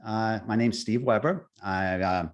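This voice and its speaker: A man's voice: on the low side, muffled recording. Long Island man telling his story. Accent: Long Island New York. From Long Island, New York. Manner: halting, many restarts and fillers, laughs lightly. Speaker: guest speaker, near-death experiencer. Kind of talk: personal storytelling.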